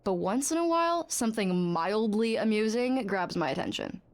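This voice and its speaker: A woman's voice: fairly high pitch, expressive, normal speed, lightly acted. A woman playing a sardonic, gossipy female clinic colleague in a video game, telling things in a dry, wry way. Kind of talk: video game dialogue acting.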